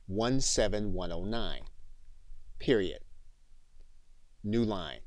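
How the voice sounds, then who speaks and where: Low-voiced man, dry studio sound. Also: no room sound. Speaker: software training instructor. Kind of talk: scripted tutorial narration.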